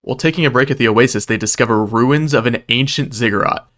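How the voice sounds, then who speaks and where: A man's voice: medium pitch, fast talker, a bit bright. Wry male storyteller narrating a recap. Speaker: video narrator recapping a roleplay game event.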